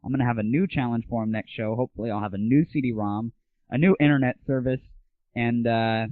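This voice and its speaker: A man's voice: medium pitch, fast talker, no echo. A man hosting a casual audio show, ranting in a blunt, irreverent and exasperated manner. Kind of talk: casual opinionated gaming and music rant. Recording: tinny, phone-like lo-fi 1990s audio.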